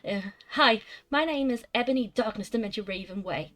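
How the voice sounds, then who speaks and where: A woman's voice: a little high, brisk, a bit bright, natural voice. A woman voicing a self-absorbed seventeen-year-old goth vampire girl, reading fan fiction aloud matter-of-factly, with little change of voice.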